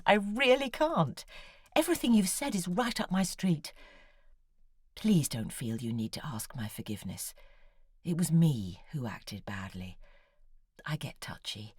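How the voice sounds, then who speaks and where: A breathy, confessional writer. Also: female voice, deep voice, no room sound, full character voice. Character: Monica, a touchy, moody woman writer. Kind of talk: audio drama, reading out an email reply.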